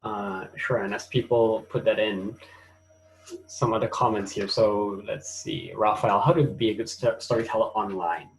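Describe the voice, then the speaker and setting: A man from Malaysia with a Malaysian accent, co-hosting an online webinar in a relaxed manner with frequent fillers, speaking over a video call. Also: on the low side, normal speed, slight background noise, poor audio. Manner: relaxed, thinks aloud with fillers. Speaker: webinar co-host. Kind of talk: casual online webinar talk.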